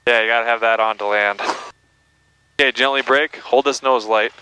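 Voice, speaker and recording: A man's voice: mid-range voice, fairly even intonation, moderate pace, pushed and bright, smooth, no echo, low-quality recording. A man working as a flight instructor, giving encouraging step-by-step instructions and often checking in, over an aviation headset. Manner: encouraging, step-by-step coaching with tag questions. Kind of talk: hands-on cockpit instruction. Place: small airplane cockpit in flight and taxiing.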